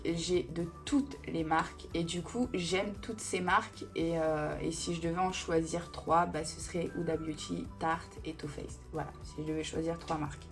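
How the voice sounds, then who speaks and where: Casual beauty vlogger, woman in her thirties, lowish voice, chatty, rambles with fillers, faint music behind. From Italian family roots. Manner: chatty and digressive, with many fillers. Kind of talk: casual Q&A chat.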